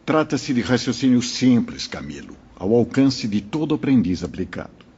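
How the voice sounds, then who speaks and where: An actor playing a wise, paternal spirit mentor who calmly teaches his pupils in a very husky voice. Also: medium pitch, normal speed, lo-fi sound. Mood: didactic. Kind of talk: audio drama acting.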